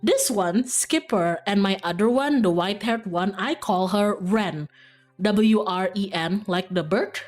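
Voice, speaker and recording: A woman's voice: medium pitch, measured pace. A woman streamer chatting casually while playing a visual novel, rambling and informal with frequent giggles. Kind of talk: casual chat, live game stream.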